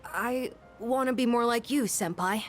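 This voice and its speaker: A woman playing an earnest, gentle young schoolboy in a video game, speaking politely and thoughtfully with some hesitation. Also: on the high side, a little slow, faint music behind, natural voice. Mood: earnest, warm. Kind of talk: English video game voice acting.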